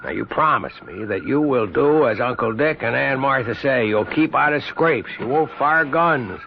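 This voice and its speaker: An actor playing a protective, plain-spoken older brother and army captain in a radio drama, earnest and coaxing. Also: male voice, mid-range voice, animated, moderate pace, creaky. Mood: earnest, firm. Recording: dull noisy 1970s radio drama recording.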